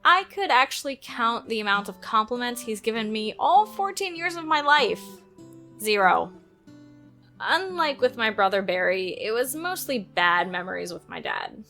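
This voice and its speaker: A woman's voice: a little high, normal speed, heavily acted. A woman playing a sardonic, defiant teenage girl archer who narrates and speaks in an audio drama, expressively. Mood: bitter, reflective. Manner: sarcastic, expressive. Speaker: narrator and lead character. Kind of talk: first-person audio drama narration and dialogue. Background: music.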